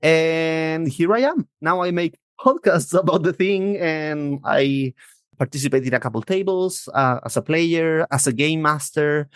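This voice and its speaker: Higher-voiced man from Chile, thirties. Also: a little slow, smooth, no room sound, studio quality. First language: Spanish. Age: 30s. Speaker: podcast guest. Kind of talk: casual interview chat.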